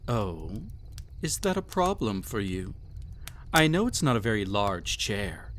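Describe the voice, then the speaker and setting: A man's voice: fairly low pitch, big pitch swings, measured pace, fairly bright tone, a little hiss or hum. A man playing a cruel, possessive, vain emperor toying with a captive in an intimate audio roleplay, with haughty, teasing, theatrical phrasing. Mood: smug indulgence.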